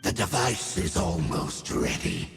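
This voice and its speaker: A man voicing a formal, deferential monstrous servant of a dark order in a dramatic video game. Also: low voice, wildly swooping pitch, unhurried, creaky, heavily acted. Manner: formal, obsequious. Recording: loud music behind.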